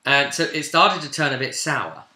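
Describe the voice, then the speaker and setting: A man's voice: medium pitch, very animated, moderate pace, sharp tone. A man casually retelling a tabletop role-play session as game master, rambling and informal, slipping into bits of dialogue. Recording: echoey room.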